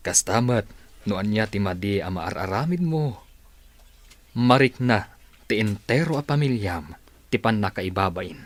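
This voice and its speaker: Preachy office boss, Filipino, lowish voice, stern and admonishing male actor, radio drama. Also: lively intonation, measured pace, long pauses.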